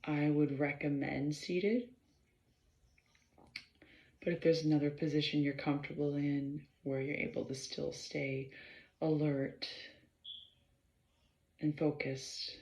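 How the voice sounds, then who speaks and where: A woman leading a guided meditation in a measured, halting way, pausing between short phrases and often clearing her throat. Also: sits low, level tone, darkish tone. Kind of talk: slow guided meditation instruction. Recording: roomy, slightly dull sound.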